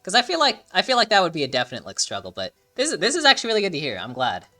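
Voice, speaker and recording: A woman's voice: lowish voice, wildly swooping pitch, racing, sharp tone, soft background music. A woman streamer giving casual let's-play commentary, stammering and swearing freely as she reacts to the story. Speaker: gaming streamer.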